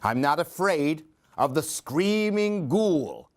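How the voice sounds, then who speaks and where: A man reading a children's story aloud in an expressive, theatrical, singsong way. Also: fairly high pitch, slow pace. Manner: theatrical, singsong rhyming delivery.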